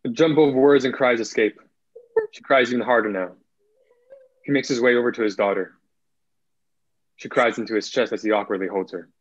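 A man's voice: medium pitch, a little fast, pauses often, dry recording, dull audio. A young man reading stage directions as scripted narration, recorded over a video call. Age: young adult. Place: indoor room at home, video call.

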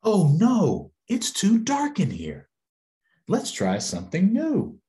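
A man's voice: sits high, huge pitch swings, slow pace, warm tone, pitched above the performer's own voice, heavily acted. An American man voicing a scared, fretful little girl from a picture book, with worried, swooping exclamations. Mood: frightened.

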